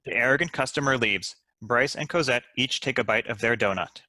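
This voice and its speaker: A man narrates stage directions from a script. His mid-pitched voice is bright and forceful, recorded close and dry. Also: a little fast, no room sound. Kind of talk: scripted narration at a comedy table read.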